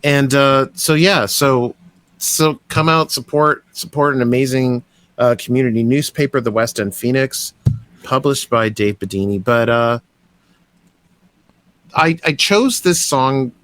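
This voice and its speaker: A man's voice: mid-range voice, a little slow, fairly bright tone, no room sound. A young Canadian man hosting a podcast, eager and rambling, with lots of restarts as he talks. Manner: enthusiastic, rambling, many restarts. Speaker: podcast host. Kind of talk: casual interview chat.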